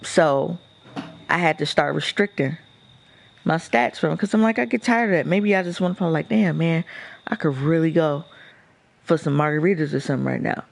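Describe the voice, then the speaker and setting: A man's voice: a little high, normal speed, creaky. A man chatting casually as a radio show co-host, telling stories in slangy, profane talk, with music playing behind. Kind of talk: casual chat.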